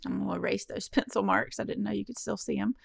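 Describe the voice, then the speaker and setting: A woman's voice: mid-range voice, fairly even intonation, fast talker, gravelly, husky, no room sound. A woman narrating a step-by-step card-making tutorial in a friendly, encouraging, instructive way. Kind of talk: step-by-step craft tutorial voice-over.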